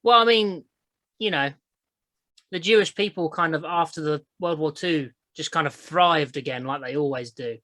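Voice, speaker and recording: A woman's voice: deep voice, normal speed, fairly bright tone, no echo. A young woman, hosting a crude casual chat podcast, blunt and giggly as she rambles. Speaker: podcast host.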